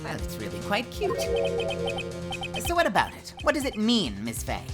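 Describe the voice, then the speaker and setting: A woman's voice: medium pitch, wildly swooping pitch, normal speed, music in the background, pitched above the performer's own voice. A woman voicing a fussy, easily startled older male judge. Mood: bewildered. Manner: fussy, bewildered.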